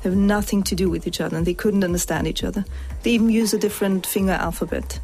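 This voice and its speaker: A woman linguist answering interview questions in a steady, explanatory way. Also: mid-range voice, fast, faint music behind.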